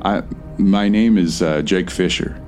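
Casting: actor for anxious male outsider, audio drama. Very deep voice, stammering, placating, music underneath. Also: measured pace, a little mellow. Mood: nervous, placating. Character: nervous, harmless stranger man from another world. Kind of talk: post-apocalyptic audio drama acting.

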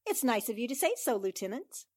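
A woman's voice: fairly high pitch, big pitch swings, brisk, a little mellow, no room sound, clean studio sound. A woman acting a smart, plucky newspaper reporter, playful and teasing, in a 1940s-style radio drama.

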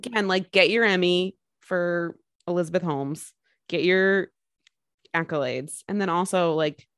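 A woman's voice: fairly low pitch, measured pace, a bit bright, clean tone, no echo, studio quality. A woman co-hosting a podcast, chatting casually and jokingly about a film, with lots of fillers. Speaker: podcast co-host.

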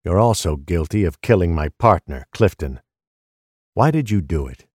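A man playing a grim, weary older police detective in an audio drama, measured and stern. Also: low-pitched, animated, a little mellow, slightly rough, dry recording, clean studio sound. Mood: stern questioning.

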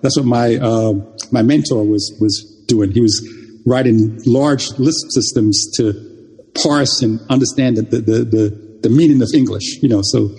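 Older man from Jamaica, Jamaican first language, interview guest; mellow, husky voice, halting delivery with a long reverb. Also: mid-range voice. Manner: halting, many restarts and repeated words. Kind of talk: reminiscing interview talk.

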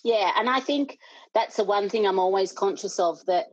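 A woman's voice: medium pitch, normal speed, no echo, highs cut off. A middle-aged Australian woman, a parent and board member, speaking earnestly in an interview with many restarts. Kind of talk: conversational interview.

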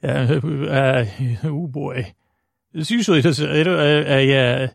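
A man hosting a bedtime podcast with a creaky voice, rambling in a hesitant, mumbling, self-deprecating way with frequent tangents. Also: fairly high pitch, slow pace, no echo, clean studio sound. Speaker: host of a bedtime sleep podcast. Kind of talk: rambling, meandering bedtime monologue.

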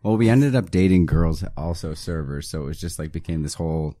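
Guest, man around thirty, low and warm voice, dry close sound, relaxed and joking. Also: fairly quick, no echo. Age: 30s. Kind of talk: casual chat.

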